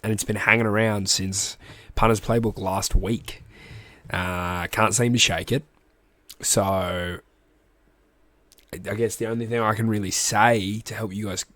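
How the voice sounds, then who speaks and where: A man's voice: fairly low pitch, a bit bright, no room sound. An Australian man with a cold, phlegmy and coughing now and then, hosting a rambling, casual rugby league recap. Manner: rambling and chatty, with restarts and asides. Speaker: rugby league podcast host.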